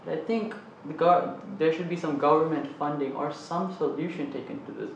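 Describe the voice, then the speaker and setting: A man's voice: a little high, fairly quick, vocal fry, slight background noise. A man on a student panel making an earnest, argumentative case. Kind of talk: opinionated discussion. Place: studio with panel on a couch. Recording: echoey, slightly dull studio sound.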